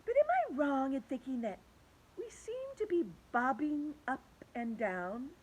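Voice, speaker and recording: A woman's voice: high voice, sing-song, measured pace, voice pushed up, low-quality recording. A woman voicing a polite, refined female ladybug in a storybook.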